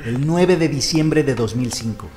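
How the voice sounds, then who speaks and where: An actor playing a formal court examiner who puts procedural questions to a witness in an audio drama. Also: male voice, medium pitch, a little slow, mellow, lightly acted. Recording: long courtroom reverb.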